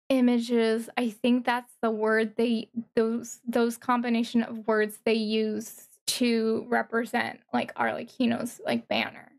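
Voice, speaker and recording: A woman giving casual, excited reaction commentary as a streamer, thinking aloud haltingly with interjections. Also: on the high side. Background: music from the watched video.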